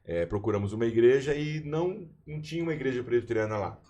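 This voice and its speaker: A man in his forties, a university chaplain and pastor, telling his story in a relaxed podcast chat with frequent restarts. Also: mid-range voice, quick pace, muffled recording. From Brazil. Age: 40s. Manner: warm, storytelling, with many restarts. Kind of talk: casual podcast conversation.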